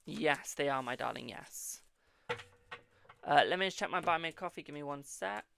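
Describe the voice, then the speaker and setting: Gravelly-voiced man reading tarot cards. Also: fairly high pitch, moderate pace, bright, forceful tone, a little hiss or hum. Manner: chatty and reassuring, calls viewers "my darling". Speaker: tarot reader hosting a live stream. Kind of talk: live-stream card reading, answering viewer questions.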